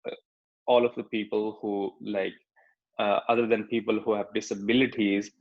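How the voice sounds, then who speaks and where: A man's voice: fairly low pitch, flat delivery, normal speed, phone-quality sound. A young man from Pakistan with a Pakistani accent, telling his personal story in a talk with slides, hesitant and full of fillers and restarts. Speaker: speaker giving a talk.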